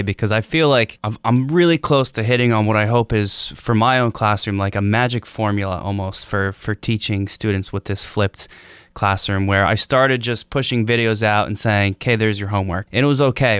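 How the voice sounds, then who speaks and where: A man's voice: lowish voice, fairly bright tone, clean tone, dry recording. A man, a teacher co-hosting a podcast, reflecting at length on his own classroom with rambling enthusiasm. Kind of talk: casual podcast discussion.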